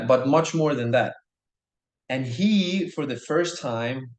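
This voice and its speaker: A man in his thirties from Norway, telling his story as a podcast guest in an earnest, enthusiastic way, often repeating himself. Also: medium pitch. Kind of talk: casual storytelling. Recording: echoey room.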